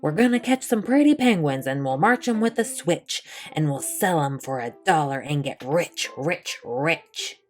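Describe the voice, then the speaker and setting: Woman putting on growly, rough, tough storybook hunters. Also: low-pitched, animated, measured pace, few pauses, a little mellow. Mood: menacing, gleeful. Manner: rhythmic menacing chanting.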